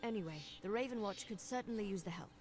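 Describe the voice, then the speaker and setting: A woman acts out a young female vampire investigator from a fantasy game. She is brisk and wry, explaining things with confident, conversational ease. Her voice sits at a middle pitch. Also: a little mellow, faint music behind, natural voice. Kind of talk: video game character dialogue.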